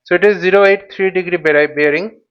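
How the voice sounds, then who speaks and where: Male tutorial host, smooth higher-set voice, halting think-aloud commentary, slightly dull recording. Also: steady tone. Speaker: flight simulator instructor. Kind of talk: informal tutorial commentary while flying a simulator.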